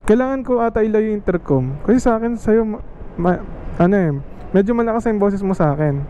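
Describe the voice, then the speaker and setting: A man's voice: sits high, fairly quick, lo-fi sound, music in the background. A Filipino man chatting and commenting casually as he tests gear, on a helmet intercom microphone. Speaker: vlogger testing gear. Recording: noisy vehicle and road background.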